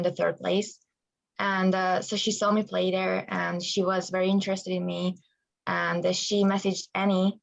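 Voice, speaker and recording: Young Hungarian female athlete, gravelly, muffled recording. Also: medium pitch. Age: young adult. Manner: hesitant, many fillers, laughs easily. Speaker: student-athlete guest. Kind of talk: interview.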